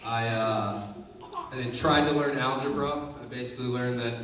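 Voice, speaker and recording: A man's voice: medium pitch, steady tone, normal speed, fairly bright tone, slight background noise. A young man performing a self-deprecating stand-up set with hesitant "I, uh" restarts, recorded by a camera far from the stage. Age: young adult. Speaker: stand-up comedian. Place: comedy show stage with live audience. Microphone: camera recording from a distance. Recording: echoey room from far off, audience laughter.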